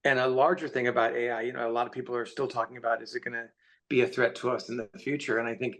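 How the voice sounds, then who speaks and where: A man talks as a guest author on a conversational panel. His voice sits at a middle pitch, and he sets out his opinions earnestly in a casual, explanatory way. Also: flat delivery, very fast, a bit bright.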